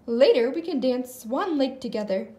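A woman voices an enthusiastic little boy from a picture book. The voice is high and animated, exclaiming to a friend in a slightly echoey room. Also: moderate pace. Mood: playful.

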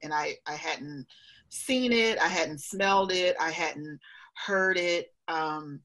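A woman's voice: sits low, slow pace, fairly bright tone, low-quality recording. A woman answering interview questions conversationally as a guest, reflective and often checking in with a questioning tag. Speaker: guest, professor and author.

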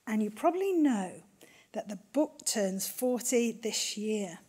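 A woman's voice: fairly high pitch, expressive, slow pace, mellow, husky. A middle-aged woman giving a prepared introduction as host of an event in a lecture theatre. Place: university lecture theatre, at lectern.

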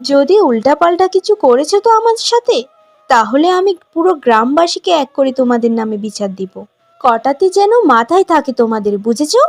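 Woman playing a high-pitched, vain young bride. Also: sing-song, fairly quick, no room sound, faint music behind. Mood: scolding, threatening. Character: vain, haughty, beautiful young new bride. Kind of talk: cartoon voice acting.

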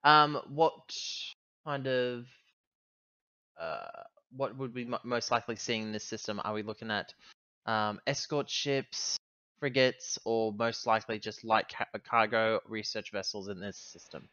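Clear-voiced man, halting game player. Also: fairly low pitch, bright, forceful tone, no echo, lo-fi sound. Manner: halting, thinking aloud. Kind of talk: casual game chat.

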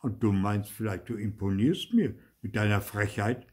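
A man voicing a gruff, stern farmer in a children's story, taunting with sarcastic mock politeness. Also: on the low side, moderate pace, darkish tone. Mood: threatening. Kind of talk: dramatised children's storybook reading.

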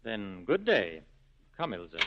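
An actor playing a dignified, composed and defiant resistance leader in a 1940s radio drama. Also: male voice, fairly low pitch, wildly swooping pitch, background noise, full character voice. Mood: cool. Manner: formal, composed, measured. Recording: dull 1940s radio recording.

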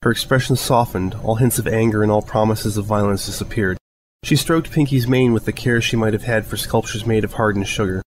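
A man's voice: lowish voice, fairly quick, a little hiss or hum, low-quality recording. A man narrating a scripted piece of fan fiction as a storyteller.

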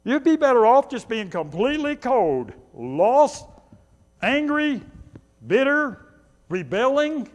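A man's voice: extremely high pitch, big pitch swings, slow pace. A man in his sixties preaching a sermon as a pastor, emphatic, with short repeated phrases and conversational asides. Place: small church sanctuary, indoors. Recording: long reverb of a church hall.

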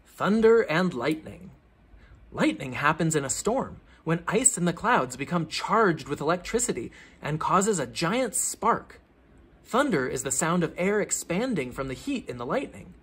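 Expressive man reading aloud, husky and high-pitched. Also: measured pace. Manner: theatrical emphasis on headings, clear explanatory phrasing. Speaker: children's book reader, teacher. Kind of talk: expressive read-aloud of a children's science book.

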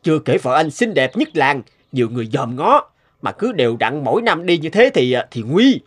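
Nosy, needling cartoon neighbour. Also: male voice, a little high, sing-song, normal speed. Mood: insinuating. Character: nosy, insinuating male village neighbour. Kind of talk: animated folktale dubbing. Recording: music behind the voice.

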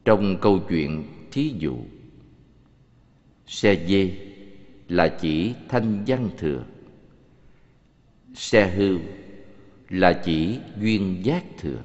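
A man voicing a serene, authoritative Buddha in an animated scripture film, teaching in a measured, solemn delivery. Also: deep voice, heavily acted. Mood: calm explaining. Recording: muffled, long cavernous reverb.

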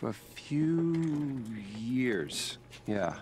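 An actor playing a weary, awkward middle-aged American scientist in a cinematic game, hesitant and skeptical. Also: male voice, medium pitch, very animated, very slow, background noise, heavily acted. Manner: hesitant, mumbling, stammering. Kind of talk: cinematic video game acting.

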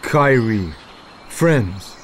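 An actor playing a gentle, earnest wild jungle man from a video game, speaking in halting, broken single words. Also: fairly low pitch, animated, a little hiss or hum. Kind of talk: video game cutscene acting.

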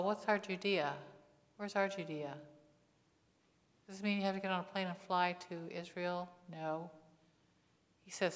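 A middle-aged woman pastor preaching in a church on a lapel mic, conversational, with frequent rhetorical questions. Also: on the low side. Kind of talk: sermon. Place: church sanctuary. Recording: long church reverb.

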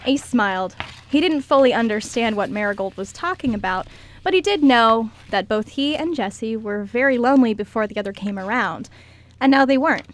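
A woman's voice: on the high side, expressive, fairly quick. A woman reading scripted story narration as the narrator of an amateur radio drama.

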